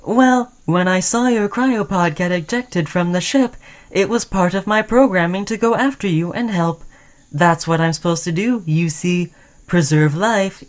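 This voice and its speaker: A woman voicing a friendly, caring, obsessively devoted sentient robot in a chatty, soothing audio roleplay. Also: fairly low pitch. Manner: chatty, soothing, addressing listener as human friend. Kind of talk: scripted ASMR roleplay addressed to the listener.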